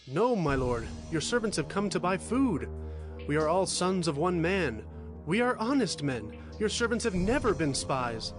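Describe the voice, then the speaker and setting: Earnest pleading brother, higher-pitched. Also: male voice, big pitch swings, unhurried, over music. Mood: pleading, protesting. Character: earnest, anxious brother pleading honesty as foreign petitioner. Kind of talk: dramatized scripture audio acting.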